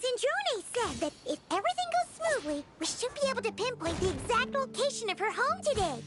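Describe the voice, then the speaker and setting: Squeaky, perky tiny fairy companion. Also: female voice, sing-song, fairly quick, loud music behind the voice. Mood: eager, cheerful. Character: tiny, chirpy, eager fairy-like female companion. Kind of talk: anime-style video game voice acting.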